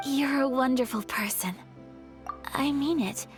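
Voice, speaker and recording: A young, well-bred noblewoman in a video game. Her voice is high and gentle, with formal, earnest phrasing and a shy hesitancy. Music plays clearly behind her. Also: a little slow. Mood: sincere, gracious. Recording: studio voice over clear background music.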